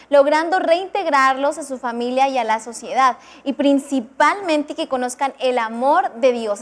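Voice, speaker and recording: A young woman speaks in a high, clear voice. She explains the ministry's mission in an earnest, heartfelt way, as a guest on a church TV program. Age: 20s. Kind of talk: testimonial explanation.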